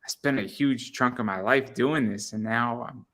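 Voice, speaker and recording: A man's voice: mid-range voice, moderate pace, a bit bright. A man of about thirty hosting an interview in a casual chat, stammering and repeating words as he thinks aloud. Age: around 30. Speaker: interview show host.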